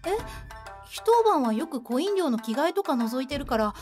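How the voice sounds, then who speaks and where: A woman playing a soft-spoken, brooding courtesan yokai with feminine speech, in a Japanese fantasy comedy voice drama. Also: high-pitched, big pitch swings, moderate pace, soft background music. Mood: gentle, curious. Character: soft-spoken, gloomy, grudge-prone female long-haired courtesan yokai.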